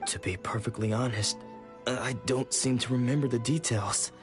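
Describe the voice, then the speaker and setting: An actor playing a defensive, aggrieved poor ex-soldier in a video game, speaking in a protesting manner. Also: male voice, mid-range voice, normal speed, natural voice. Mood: uneasy, confused. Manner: indignant, protesting. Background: game music.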